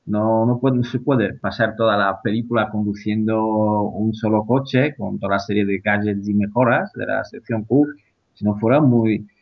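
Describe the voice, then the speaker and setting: A man taking part as a guest in a casual discussion, hesitant, with many fillers and restarts. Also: fairly low pitch. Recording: muffled lo-fi audio.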